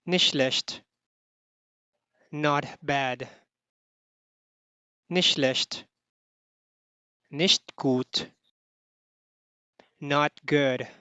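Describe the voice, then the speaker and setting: A language teacher reading a scripted lesson of short phrases and translations, deliberately repeating each one for learners. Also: mid-range voice, fairly bright tone, no room sound, lo-fi sound.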